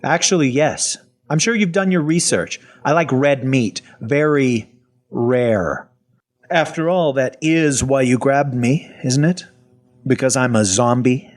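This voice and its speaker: A man's voice: mid-range voice, a little slow, lightly acted. A man narrating audio fiction in the first person as a sarcastic, foul-mouthed captive survivor who is part zombie. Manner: sardonic, conversational, expressive.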